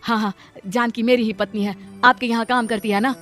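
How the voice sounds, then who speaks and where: A woman's voice: on the high side, fairly even intonation, a little fast, a bit bright, soft background music, close to an everyday voice. A woman voicing a poor male laborer, an earnest, protective husband in a cartoon. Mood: reassuring. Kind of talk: Hindi cartoon voice acting.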